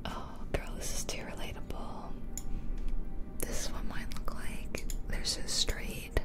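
A woman softly whispering casual, thought-aloud ASMR commentary over a video game, very close to the microphone. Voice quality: soft, breathy whisper. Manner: unhurried, casual, thinking aloud. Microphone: close ear-to-ear ASMR microphone.

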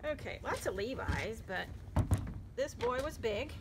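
A woman's voice: fairly high pitch, animated, a little slow. Chatty woman sorting finds. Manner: chatty, upbeat commentary while sorting clothes. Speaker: vlog co-host. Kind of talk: casual chat. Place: outdoors in a yard. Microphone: handheld phone camera. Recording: noisy outdoor phone recording.

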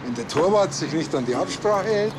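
A man's voice: sits high, expressive, moderate pace, background noise. An actor playing a cocksure, suspicious Bavarian policeman who talks like an insistent know-it-all in a TV crime comedy. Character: cocksure, suspicious Bavarian village policeman, middle-aged man. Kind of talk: naturalistic TV crime comedy dialogue.

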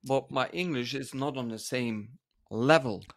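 Brief: Dutch-accented man, slow and halting, hunting for English words, dry close mic. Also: medium pitch, no room sound. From Netherlands. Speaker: dance expert, podcast co-host. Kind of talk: casual conversation.

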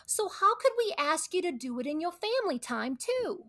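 A high, swooping voice for a blunt mob wife , acted by a woman. She scolds her family with a sharp tongue, in broad radio comedy style. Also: very animated, moderate pace, full character voice. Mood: grand, conciliatory. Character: brash, scolding mob boss's wife and mother. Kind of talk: comedic radio play acting.

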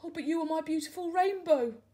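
A woman voicing a lovesick young male tadpole in a storybook, high and earnest. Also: a little fast, dark tone. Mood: pleading.

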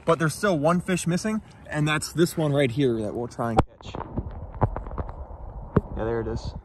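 A young man vlogging outdoors in a tidal marsh at night, talking excitedly and casually about the fish he catches. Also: a little high, unhurried, background noise. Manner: enthusiastic, chatty, slangy. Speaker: micro-fishing vlogger. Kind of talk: casual outdoor vlog narration.

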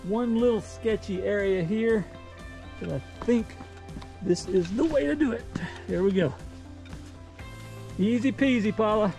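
Upbeat high-voiced man hiking outdoors. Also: a little slow, creaky, long pauses, darkish tone, faint music behind. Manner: upbeat, encouraging while hiking. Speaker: travel vlog host. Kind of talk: casual hiking narration. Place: outdoors on a desert canyon trail. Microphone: handheld camera at arm's length.

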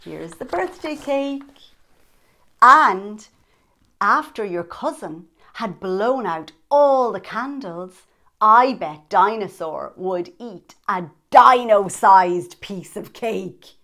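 A woman's voice: mid-range voice, lively intonation, takes it slowly, sharp tone. A woman in her thirties hosting storytime, reading a picture book aloud expressively to young children with dramatic emphasis.

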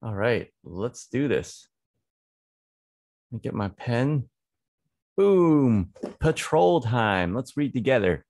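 A man in his thirties giving a read-aloud English phonics lesson for children, deliberate and repetitive, close to a desk microphone in a small room. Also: mid-range voice, very animated, darkish tone, dry recording. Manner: deliberate, repeats words and sentences. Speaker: English reading teacher for children. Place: small indoor home studio. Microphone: close desk microphone on boom arm.

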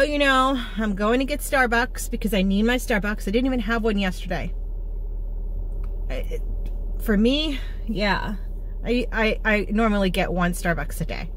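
A woman's voice: medium pitch, slight background noise, low-quality recording. A middle-aged American woman talking informally and chattily to viewers as a home cooking and lifestyle vlogger. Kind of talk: casual chatty vlog to viewers.

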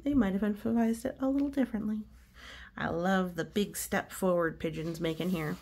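Creaky-voiced woman reading to children. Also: medium pitch, dark tone. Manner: reassuring, talks directly to child listeners. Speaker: storybook reader. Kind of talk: read-aloud for children with asides explaining the pictures.

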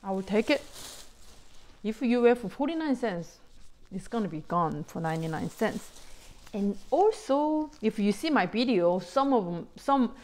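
A middle-aged woman, not a native English speaker, excitedly chatting to viewers about bargain clothes in simple English with many "you know"s. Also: mid-range voice, a little slow. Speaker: vlogger showing a shopping haul. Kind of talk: casual chat to viewers.

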